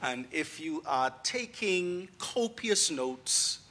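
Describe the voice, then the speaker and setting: A man's voice: a little high, lively intonation, takes it slowly, noisy background. A man in his thirties with a Bahamian accent, a guest priest preaching a sermon in a church sanctuary into a pulpit mic, genial and rising to emphatic delivery.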